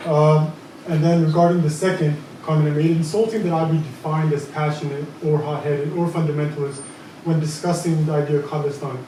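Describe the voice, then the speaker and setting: A man from Punjab making a prepared comment from the audience, deliberate and measured, using repeated phrases for emphasis. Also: on the high side, steady tone, warm tone, slight background noise. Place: large echoey hall. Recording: echoey hall, far from mic.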